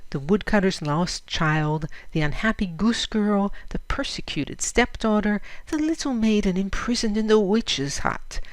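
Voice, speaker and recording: A woman narrating a literary story in a measured, expressive storytelling manner. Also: fairly low pitch, dry recording. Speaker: audiobook reader.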